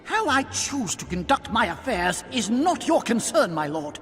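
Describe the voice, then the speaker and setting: An actor playing a dignified syndicate lord who speaks in a formal, measured way. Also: male voice, extremely high pitch, sing-song, fairly bright tone, big-room sound, faint music behind, heavily acted. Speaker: game character.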